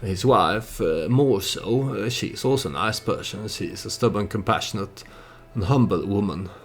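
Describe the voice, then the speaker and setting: A man giving casual storytelling commentary over a strategy game, hesitant and halting as he thinks aloud. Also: fairly low pitch. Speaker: let's play YouTuber narrating his strategy game.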